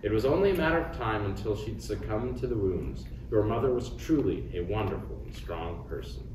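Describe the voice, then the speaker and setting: A man voicing a kindly, dignified alien villager professor with a formal, gentle manner at a comedy table read. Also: fairly low pitch, expressive, brisk, natural voice. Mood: solemn, consoling. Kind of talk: parody script table read. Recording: roomy, distant, noisy room recording.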